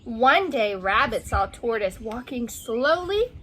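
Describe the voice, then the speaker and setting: High-pitched, unhurried woman reading aloud. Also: big pitch swings, fairly bright tone, slight background noise. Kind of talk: animated children's story read-aloud with banter.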